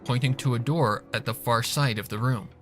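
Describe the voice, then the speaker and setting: A man's voice: medium pitch, normal speed, a bit bright. A man reading a fan fiction story aloud as scripted narration. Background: music.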